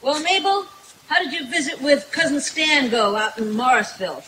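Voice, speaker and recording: A woman's voice: high-pitched, expressive, moderate pace, sharp tone. A woman playing a plainspoken, incredulous country woman in an old-time radio comedy skit, folksy and exclamatory. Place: outdoor woodland stage at night. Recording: hissy live camcorder recording from a distance.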